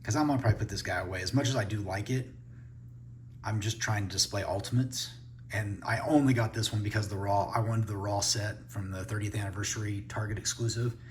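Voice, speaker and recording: A man's voice: fairly low pitch, brisk, slight background noise. A man giving a casual unboxing review, thinking aloud as he handles the toys. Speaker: toy reviewer.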